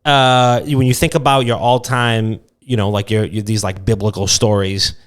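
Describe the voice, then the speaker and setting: A man's voice: fairly low pitch, little pitch movement, moderate pace, clean tone. A man co-hosting a casual comedy podcast, rambling irreverently and repeating himself. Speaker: podcast co-host.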